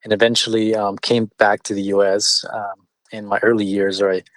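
A man whose first language is Spanish, a business owner answering interview questions in a measured way with frequent fillers and restarts. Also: lowish voice, little pitch movement, dry recording. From born in California, partly raised in Mexico City. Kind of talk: conversational interview.

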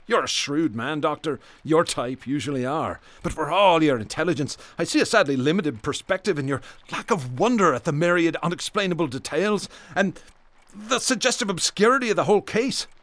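A haunted police detective in a radio drama, his voice pitched a little high. He is bitter and obsessive, speaking in florid, brooding phrases with theatrical weight. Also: lively intonation, normal speed, fairly bright tone, no room sound. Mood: scornful.

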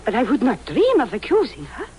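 An actress playing an anxious, prim middle-aged schoolteacher in an old radio drama, hesitant and polite. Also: female voice, a little high, huge pitch swings, fairly quick, darkish tone. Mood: prim, eager. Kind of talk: 1950s radio drama acting. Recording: noisy old radio recording.

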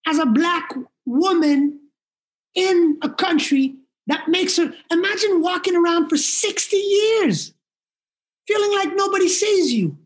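A man's voice: very high voice, lively intonation, a little slow, poor audio. A man being interviewed as a podcast guest, telling a story in an earnest, emotional way. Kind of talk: casual interview talk.

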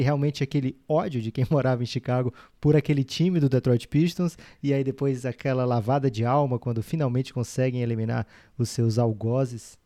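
A man's voice: medium pitch, mellow, dry recording, studio quality. A man with a Brazilian accent co-hosting a casual, joking sports podcast. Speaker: podcast co-host.

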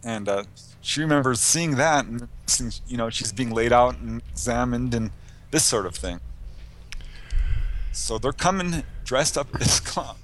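A man's voice: medium pitch, expressive, measured pace, a bit bright, slight background noise. A man speaking conversationally as an interview guest, recounting anecdotes as a researcher and author, with a light chuckling manner.

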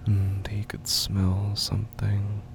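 A man narrating a scripted horror audio drama in a hushed, halting way that often drops into whispers. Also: fairly low pitch, monotone. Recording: clear background noise and ambience.

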